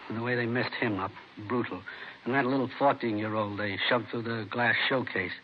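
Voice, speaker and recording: An actor playing a worried, talkative American movie theater manager in a 1950s radio drama, hesitant and confiding. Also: male voice, mid-range voice, fairly even intonation, brisk, close to an everyday voice. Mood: troubled. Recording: dull, noisy vintage radio recording.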